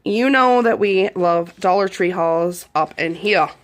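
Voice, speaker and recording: A hoarse woman, around her thirties, chatting casually with a lot of ums while showing her journal pages. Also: lowish voice, unhurried. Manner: rambling, chatty, with frequent ums. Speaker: YouTube vlogger. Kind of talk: casual journal flip-through.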